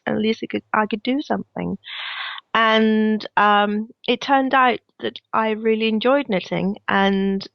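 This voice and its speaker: A woman's voice: mid-range voice, vocal fry, dry recording, dull audio. A woman from Denmark with a Danish accent and a cold, chatting as an interview guest. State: has a cold. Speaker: guest, knitwear designer. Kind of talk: interview answers.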